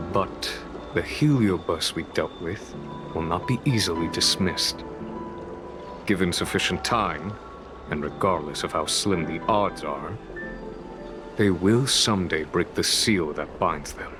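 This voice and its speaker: Composed game general: low, very slow, formal and wise, music beneath. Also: male voice. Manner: measured, formal, unhurried. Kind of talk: video game voice acting. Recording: music under the voice.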